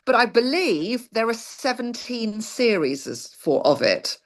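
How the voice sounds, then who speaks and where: A woman's voice: fairly high pitch, very animated, slow pace, crowd noise. A woman in a book club chatting enthusiastically and rambling on a video call. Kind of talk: casual group chat.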